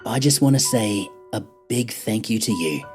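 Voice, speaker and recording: A man's voice: fairly low pitch, animated, moderate pace, darkish tone, faint music behind. A man speaking as host of a spooky story show, addressing his listeners directly. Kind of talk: host's scripted sign-off to listeners.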